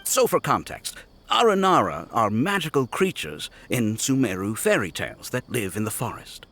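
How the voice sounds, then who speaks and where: A level-headed toy shop worker in video game dialogue. His medium-pitched voice is dry and measured, yet moves around expressively. Faint ambient noise sits behind it. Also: big pitch swings, fairly bright tone. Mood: explaining, resigned.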